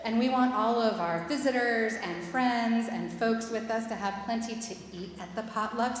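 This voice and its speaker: Woman making church announcements, higher voice, heavy echo. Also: slight background noise. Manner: friendly, loosely phrased with fillers. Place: large church sanctuary. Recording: echoey hall with long reverb.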